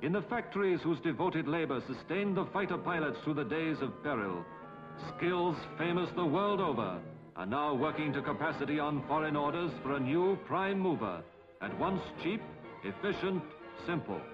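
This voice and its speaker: A British male narrator with a fairly high voice delivers formal, rhetorical 1950s film commentary. The soundtrack is dull and dated, with prominent music behind him. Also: lively intonation, moderate pace. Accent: British English. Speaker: documentary narrator.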